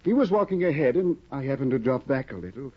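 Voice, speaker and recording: A flustered, fussy young scholar. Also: male voice, mid-range voice, animated, quick pace, slightly rough. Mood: fretful. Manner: flustered, fussing, exclaiming. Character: fussy excitable young male scholar husband. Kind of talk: 1950s radio drama acting. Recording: dull lo-fi 1950 radio broadcast.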